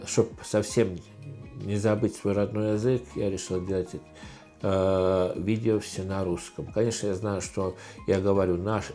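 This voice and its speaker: Halting middle-aged man, Estonian accent. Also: fairly low pitch, dark tone. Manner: halting, many fillers and restarts. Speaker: channel host. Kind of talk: casual Q&A vlog.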